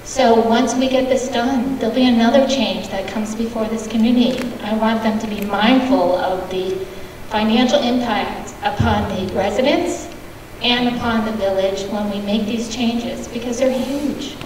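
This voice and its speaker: Brief: woman resident, earnest and persistent, fairly high voice, distant mic in a noisy echoey hall. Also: normal speed, a little mellow. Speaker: resident speaking at a public meeting. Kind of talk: public comment at a community meeting. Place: large meeting hall.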